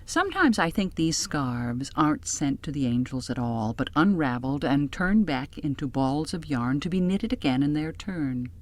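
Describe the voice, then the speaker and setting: Low-voiced woman narrating a literary audiobook. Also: big pitch swings, normal speed, hardly any pauses. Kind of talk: scripted literary narration in first person.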